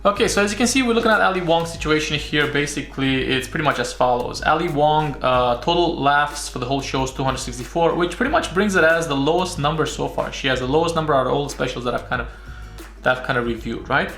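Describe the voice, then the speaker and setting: A man's voice: fairly high pitch, quick pace, fairly bright tone. A man from Romania giving casual, analytical review commentary, often rephrasing himself and checking in with "right?". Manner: repeats and rephrases, often tags sentences with "right?". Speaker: YouTube comedy reviewer and comedian. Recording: roomy echo with music behind.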